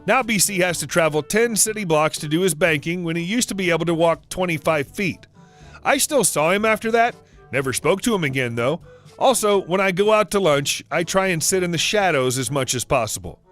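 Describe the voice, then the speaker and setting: A man giving a scripted narration of online stories as the channel's narrator, reading in an even, steady way. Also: a little high, fairly quick, soft background music. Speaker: story narrator.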